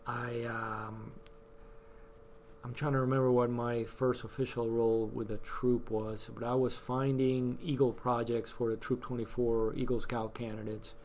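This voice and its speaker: A middle-aged man from Cuba speaks in a casual interview, his voice held in a narrow, even pitch. He pauses and restarts often, leaning on fillers. A faint hiss runs under the recording. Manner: hesitant, many fillers and restarts. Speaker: guest, scouting volunteer.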